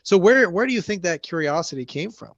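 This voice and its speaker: A man's voice: high-pitched, animated, a little fast, highs cut off. A man hosting a podcast, chatting in a hyped, buddy-style way and calling his guest "man" and "bud.". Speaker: podcast host. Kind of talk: casual podcast interviewing.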